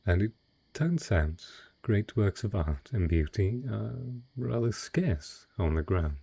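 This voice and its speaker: Male actor for cunning, wealthy dragon in disguise, romantic fantasy audio drama. Dark, mellow, husky voice, refined and unhurried. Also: fairly low pitch, expressive, heavily acted. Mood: wistful, suave. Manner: refined, eloquent, unhurried storytelling. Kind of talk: romantic fantasy audio roleplay, intimate monologue.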